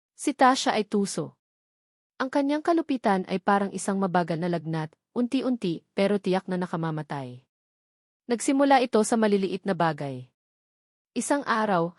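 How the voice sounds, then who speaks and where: A woman reads scripted dramatic fiction as its narrator. Her delivery is measured and builds suspense. The sound is close and dry, with very little room on it. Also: mid-range voice, dry recording. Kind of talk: dramatic scripted first-person story narration.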